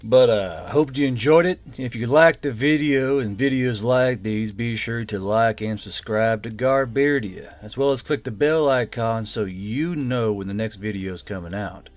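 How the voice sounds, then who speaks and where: A man's voice: medium pitch, normal speed, hardly any pauses. A man narrating a story in audiobook style as the host and reader.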